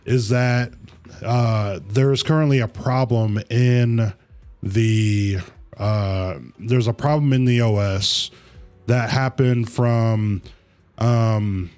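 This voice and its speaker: A man chatting casually to viewers as a livestreamer, halting and rambling, with easygoing slang. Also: lowish voice, a little mellow. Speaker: live streamer, host of own channel. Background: music.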